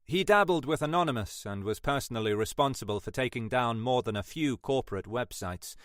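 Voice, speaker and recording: A man's voice: mid-range voice, animated, a little fast, a bit bright, dry recording, studio quality, lightly acted. Man acting a cocky young cut-glass English techie. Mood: matter-of-fact. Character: cocky skinny young male computer forensics whiz, twenty-six.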